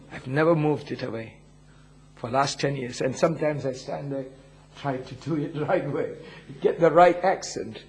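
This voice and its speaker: Husky preacher with an Indian accent. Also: male voice, on the high side. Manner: humble, earnest, chuckles often. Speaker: pastor. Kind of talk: sermon, personal testimony.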